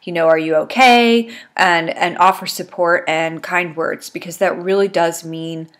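A woman in her thirties with a low, stuffed-up voice talks to her webcam from a small room at home. Her delivery is chatty and rambling, with lots of ums between thoughts. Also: steady tone, a little slow. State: congested. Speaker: beauty vlogger. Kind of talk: casual chat to camera. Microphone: webcam at desk.

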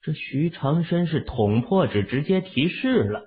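A man's voice: on the high side, expressive, measured pace, slightly rough. A man narrating a novel from a script in a dramatic storytelling style, with narrow, muffled sound. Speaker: audiobook narrator.

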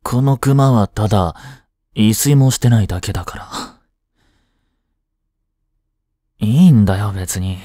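A man's voice: fairly low pitch, normal speed, warm tone, no room sound, clean studio sound, lightly acted. A young man playing a lovestruck, jealous schoolboy in a romantic voice drama, speaking casually with hesitations and sighs. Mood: tired, nervous. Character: lovestruck, jealous teenage schoolboy childhood friend. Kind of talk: Japanese romantic voice drama acting.

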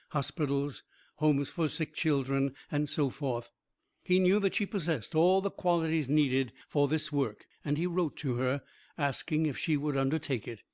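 A man reading a biography aloud as an audiobook narrator, in an even, measured way. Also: medium pitch.

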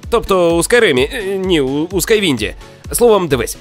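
A man's voice: high-pitched, animated, brisk. A man co-hosting a scripted video game news show, delivering banter and opinions with theatrical sarcasm. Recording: music bed behind the voice.

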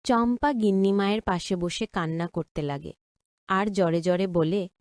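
A woman's voice: medium pitch, unhurried, a little mellow, dry recording, studio quality. A woman narrating a scripted cartoon story.

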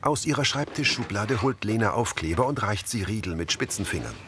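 Low-voiced male narrator with lively intonation. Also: a little fast, heavy background noise. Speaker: audio description narrator. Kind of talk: scripted narration of a TV crime comedy.

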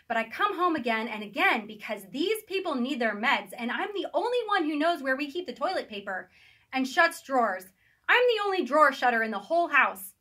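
A middle-aged American woman reading her own humorous audiobook in a recording studio, with an animated, wry, emphatic delivery. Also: sits high. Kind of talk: scripted audiobook narration, comedic personal essay. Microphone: studio microphone on boom arm, wearing headphones.

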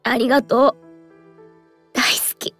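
An actress playing a gentle, devoted fox spirit in an anime audio drama, speaking wistfully and breathily in a western Japanese dialect. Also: female voice, on the high side, fairly even intonation, a little slow, soft background music. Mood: fond, fading.

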